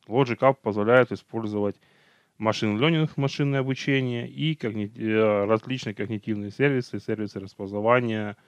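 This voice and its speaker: A man's voice: lowish voice, smooth, no echo, muffled recording. A man teaching an online technical training over slides, talking unhurriedly, with hesitations and self-corrections.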